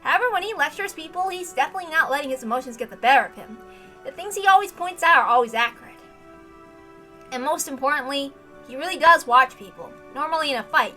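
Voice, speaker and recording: A woman's voice: high voice, lively intonation, quick pace, bright, forceful tone, soft background music. A woman voicing a cocky, good-humored young male genius fighter with a casual, wry delivery in a story reading. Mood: fond, reflective.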